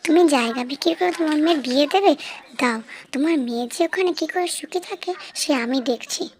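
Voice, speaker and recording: A woman voicing a sharp-tongued village family woman in a cartoon story. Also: sits high, fast. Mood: angry, resentful. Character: scheming, sharp-tongued village mother or daughter-in-law. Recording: noisy track with clicks.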